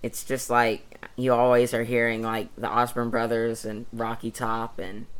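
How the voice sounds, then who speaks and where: Brief: teenage male, fairly flat intonation, modest young musician in casual interview. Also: medium pitch, unhurried, clean tone. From East Tennessee, United States.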